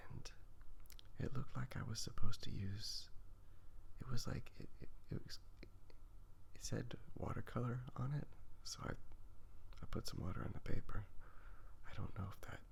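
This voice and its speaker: A man speaking in a hushed, half-whispered voice, rambling casually and haltingly in a self-deprecating, musing way as an ASMR host. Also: medium pitch, steady tone, gravelly. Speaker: host of an ASMR drawing channel. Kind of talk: soft-spoken ASMR, casual rambling chat. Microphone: phone recording.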